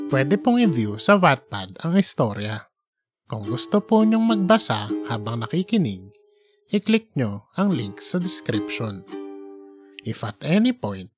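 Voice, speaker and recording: A man, hosting and reading a scripted audiobook-style story. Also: medium pitch. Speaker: podcast host and storyteller.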